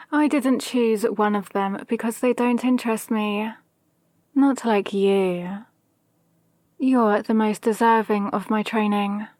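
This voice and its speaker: A woman playing a calm, possibly mad villain sorceress in an ASMR roleplay, speaking to an apprentice in a measured, condescending, gently dominant way. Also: fairly high pitch, no echo. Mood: smug, coolly commanding. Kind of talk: ASMR roleplay with whispered asides. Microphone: close, dry microphone.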